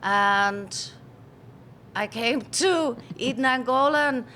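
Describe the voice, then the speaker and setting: Irish woman, 50s, bright chatty storyteller, interview guest. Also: a little high, takes it slowly, slight background noise. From Ireland. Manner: chatty storyteller, laughs easily, acts out quotes. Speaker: pub owner, interviewee. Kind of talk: casual interview chat.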